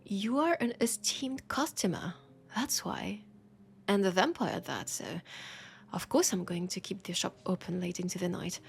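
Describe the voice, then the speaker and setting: A woman with a French accent playing a weary, passionate bookshop owner in an intimate roleplay, softly and pensively teasing a vampire customer. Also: mid-range voice, vocal fry, lightly acted. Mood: tired, wry. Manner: unhurried, pensive, teasing, with sighs and pauses. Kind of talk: intimate audio roleplay, scripted monologue addressed to listener.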